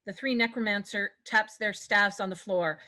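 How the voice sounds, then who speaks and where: A woman narrates a script's stage directions. Her voice is bright and forward, at a medium pitch, with a read-aloud scripted delivery. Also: steady tone, moderate pace.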